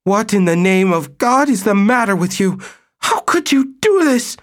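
A man voicing an enraged, jealous wife with a shrill, sped-up voice. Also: big pitch swings. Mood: furious. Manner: screeching, fast.

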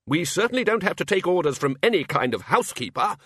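Storybook wizard boss, male reader, older, loud and blustery, high swoops, sarcastic authority. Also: very animated, fast, breathy edge, no echo, studio quality, full character voice. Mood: sarcastic indignation. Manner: blustering, commanding, emphatic. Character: bossy, booming, sarcastic older male wizard university chief.

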